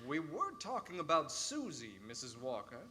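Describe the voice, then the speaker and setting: A man's voice: a little high, wildly swooping pitch, normal speed, bright, forceful tone, full character voice. An actor playing a mild, polite and apologetic man, speaking hesitantly in a live radio play. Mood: gently challenging. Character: mild, friendly, apologetic gentleman neighbour.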